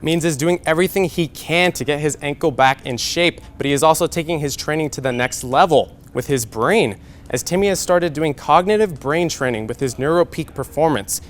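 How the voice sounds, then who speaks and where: A man's voice: on the high side, moderate pace. A young man anchoring a scripted TV sports newscast. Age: young adult.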